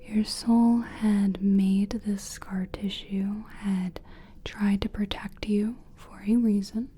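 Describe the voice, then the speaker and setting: A woman giving a soothing, gentle ASMR personal attention roleplay in a breathy whisper, speaking deliberately. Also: medium pitch, little pitch movement, a little hiss or hum. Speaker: ASMR creator playing a soul-cleansing practitioner.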